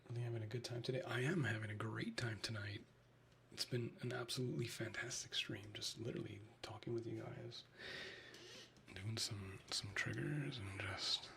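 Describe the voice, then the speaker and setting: Man, Chicago Midwestern accent, soft whispering, bright gravelly edge, chatty live ASMR streamer. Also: on the low side, a little hiss or hum.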